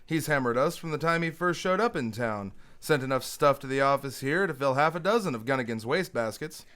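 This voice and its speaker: A man's voice: medium pitch, lively intonation, a little fast, a bit bright, clean tone, natural voice. Guy doing a newspaper publisher in a radio drama, mid voice, businesslike and a bit skeptical. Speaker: radio play actor.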